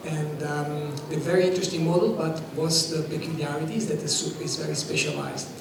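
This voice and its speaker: A man's voice: fairly high pitch, expressive, brisk. A man with an Italian accent speaking as a fintech panelist in a conference panel discussion, eager and dotted with fillers. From Italy. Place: conference stage. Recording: roomy hall, distant mic, noisy.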